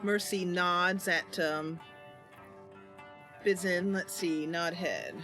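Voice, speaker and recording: A woman's voice: on the low side, fairly even intonation, slow pace, fairly bright tone, faint music behind. A woman hosting and narrating a game roleplay, chatting casually while ill and tired. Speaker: channel host and game player.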